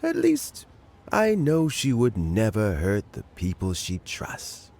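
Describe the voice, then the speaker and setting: An actor playing a wise elderly spymaster in a game, giving calm, measured advice. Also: male voice, fairly low pitch, creaky, a little hiss or hum, heavily acted. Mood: calm counsel. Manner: measured, advising, gently paced. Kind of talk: game voice acting. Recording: game audio with faint music. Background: faint music.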